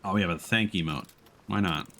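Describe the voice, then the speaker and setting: A man's voice: sits low, a little fast, a bit bright. A man streaming a video game, giving casual live commentary as he plays.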